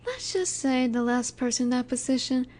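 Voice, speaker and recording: Soft, mellow, high-voiced female mafia boss acted by a woman. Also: expressive. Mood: wry, businesslike. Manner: soft, unhurried, soothing yet in command. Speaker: audio roleplay voice actor. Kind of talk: intimate scripted audio roleplay, addressed to listener.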